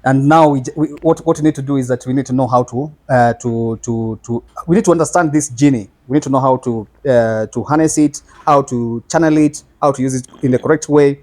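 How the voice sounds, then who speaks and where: A man's voice: mid-range voice, fairly quick, hardly any pauses, darkish tone. Hesitant man opening a panel talk. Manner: hesitant, many fillers and restarts. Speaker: podcast discussant introducing the topic. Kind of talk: casual panel discussion.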